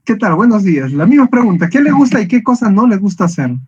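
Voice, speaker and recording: A man's voice: high-pitched, big pitch swings, quick pace, a little mellow, slightly rough. A man teaching an online class, calling on students by name and thanking each one briefly. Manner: brief, polite acknowledgements. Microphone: video call. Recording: muffled video call audio.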